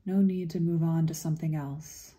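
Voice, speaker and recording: A woman leading a guided meditation in a gentle, unhurried, soothing way, leaving long pauses between phrases. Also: sits low, fairly even intonation.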